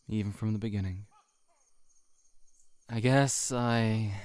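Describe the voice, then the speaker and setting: A man playing a shy, heartbroken friend in an intimate audio roleplay, confiding haltingly to the listener. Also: lowish voice, clear, resonant tone, dry recording. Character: shapeshifting monster posing as a shy, heartbroken male friend.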